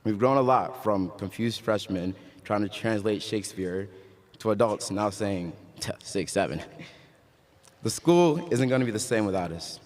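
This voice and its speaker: A young man from Ghana speaking at his graduation, his voice very low and set in the long reverb of a large hall. He mixes casual jokes with earnest encouragement. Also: expressive, moderate pace, darkish tone, a little hiss or hum. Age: young adult. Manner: casual joking, then earnest and uplifting. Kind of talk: commencement speech.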